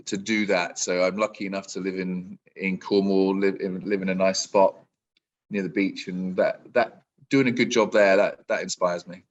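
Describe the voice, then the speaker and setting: Man in his forties, low voice, relaxed and rambling, muffled lo-fi video call audio. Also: normal speed. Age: 40s. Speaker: business coach. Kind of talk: casual interview answers.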